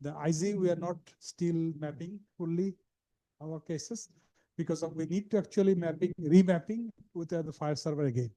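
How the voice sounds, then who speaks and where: A man from Bangladesh with a Bangladeshi accent, asking a halting question at a conference. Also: fairly high pitch, dark tone, no echo. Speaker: audience questioner.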